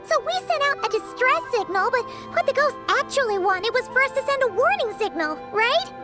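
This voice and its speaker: A tiny, naive fairy sidekick from a video game. The voice is squeaky and childlike, pitched extremely high, and it bounces around excitably. Music is clearly heard underneath. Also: female voice, brisk. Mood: dismayed.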